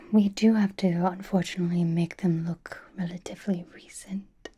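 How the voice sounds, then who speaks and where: A woman playing a flirtatious, teasing vampire in a whispered ASMR roleplay, with intimate, breathy delivery and soft sighs. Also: fairly low pitch, steady tone, normal speed, natural voice. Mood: coaxing, flirtatious. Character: flirtatious, possessive vampire companion, teasing and reassuring.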